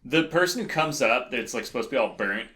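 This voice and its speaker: A man co-hosting a casual film critique chat, talking in a halting, rambling way. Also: mid-range voice, animated, sharp tone. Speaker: podcast co-host.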